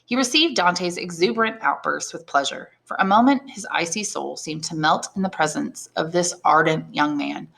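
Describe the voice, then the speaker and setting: Expressive lower-voiced woman narrating a novel. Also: normal speed, creaky, few pauses. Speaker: English teacher reading aloud. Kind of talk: expressive audiobook narration with brief explanations.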